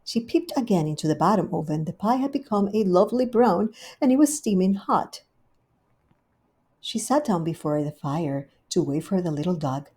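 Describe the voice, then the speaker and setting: A woman reading a classic children's story aloud in a gentle, clear storytelling manner. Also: fairly low pitch, expressive, moderate pace. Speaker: storybook reader.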